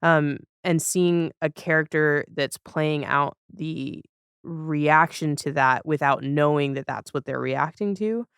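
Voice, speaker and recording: Thoughtful woman with a clear, smooth voice. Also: deep voice, slow pace, no room sound, clean studio sound. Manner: thoughtful, hesitant, searching for words. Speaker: podcast guest. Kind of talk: casual conversation about TV.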